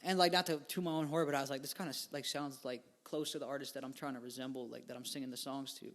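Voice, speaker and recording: Young man, twenties, podcast guest, rambling, slangy, middle-pitched voice. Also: steady tone, rapid-fire. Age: 20s. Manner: rambling, filler-heavy, laid-back slang. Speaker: guest musician. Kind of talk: casual podcast interview.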